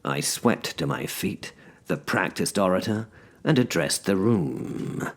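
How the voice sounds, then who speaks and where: An actor playing an urbane, pompous gentleman who narrates a story in a theatrical, wry, formal way. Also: low-pitched, animated, slow, creaky, heavily acted. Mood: grandly theatrical. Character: urbane, pompous gentleman narrator, self-styled practised orator. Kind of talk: scripted first-person story narration.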